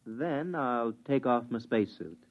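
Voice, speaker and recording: A man's voice: medium pitch, expressive, a little slow, natural voice. An actor playing a married crewman on a moon expedition, subdued and homesick, in a 1950s radio drama. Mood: plain. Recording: tinny vintage radio broadcast.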